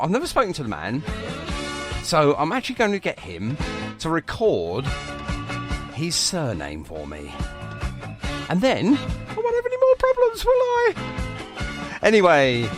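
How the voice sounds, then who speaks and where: A British man presents a radio show in a fairly high, very animated voice. He is chatty and jokey, quick to poke fun at himself. Music plays behind him. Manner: chatty, jokey, self-deprecating.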